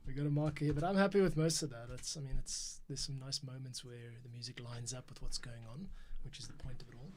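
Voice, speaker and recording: A man's voice: medium pitch, fairly even intonation, fast, few pauses, background noise. A man giving casual, thinking-aloud commentary as an audio editor at work. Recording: music behind. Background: orchestral music being edited.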